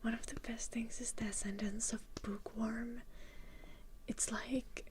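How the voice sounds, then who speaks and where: A woman's voice: fairly high pitch, level tone, unhurried, noisy background. A woman from Finland, a native Finnish speaker, whispering casual, rambling ASMR chat to her listeners as a live streamer.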